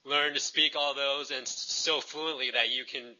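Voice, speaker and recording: A man's voice: mid-range voice, steady tone, moderate pace, bright, forceful tone, poor audio. A man hosting an interview, asking easygoing, chatty questions. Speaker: interviewer, host. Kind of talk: friendly interview.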